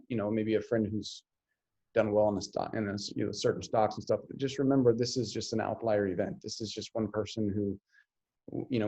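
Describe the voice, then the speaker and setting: A man's voice: lowish voice, darkish tone, dull audio. A man giving earnest investing advice as a podcast guest, with frequent restarts, heard over a remote call. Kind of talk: casual interview chat.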